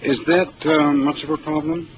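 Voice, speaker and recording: An actor playing a polite, curious civilian passenger who asks many courteous questions, in a 1950s radio drama. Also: male voice, on the high side, level tone, moderate pace, lightly acted. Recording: hissy narrow 1950s radio broadcast.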